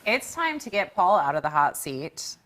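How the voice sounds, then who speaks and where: A woman's voice: on the low side, big pitch swings, moderate pace, fairly bright tone. A woman hosting a live event and handing over to audience questions. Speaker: host.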